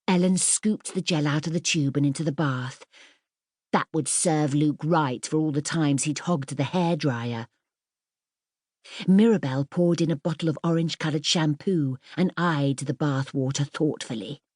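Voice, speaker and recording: A woman reading a children's story as an audiobook narrator, in an expressive, scripted storytelling style. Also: low voice, moderate pace, no room sound, studio quality.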